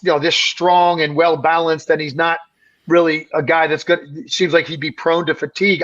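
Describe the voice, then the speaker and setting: A male basketball analyst co-hosting a podcast, his voice strained and raspy from a heavy week of talking, breaking the game down emphatically. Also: on the high side, fairly bright tone, lo-fi sound. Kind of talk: casual sports podcast analysis.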